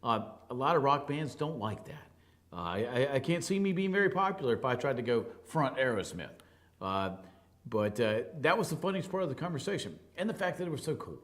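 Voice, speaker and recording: A talk show host speaking in a booming baritone. He talks in an emphatic, conversational way and slips into quick voices for the people in his stories. His pitch sits in an ordinary middle range for a man. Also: very animated. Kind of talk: casual talk show monologue.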